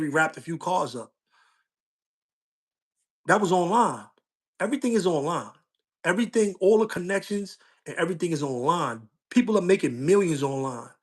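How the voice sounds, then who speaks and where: Middle-aged male streamer with a slightly higher voice, loose street slang, and a repetitive, testifying delivery. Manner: street slang, loops back with "you know what I'm saying". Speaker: social media streamer. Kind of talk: casual livestream talk.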